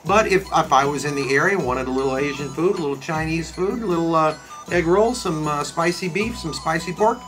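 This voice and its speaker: A man's voice: a little high, brisk, over music, poor audio. A middle-aged man, a restaurant critic hosting a casual review chat with a co-host. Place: indoors in front of a backdrop.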